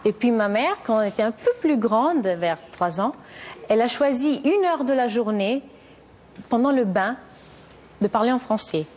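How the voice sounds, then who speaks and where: A woman's voice: fairly high pitch, animated, moderate pace, darkish tone. A woman in her thirties from Italy, a talk show guest who speaks earnestly and hesitantly, often restarting her sentences. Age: 30s.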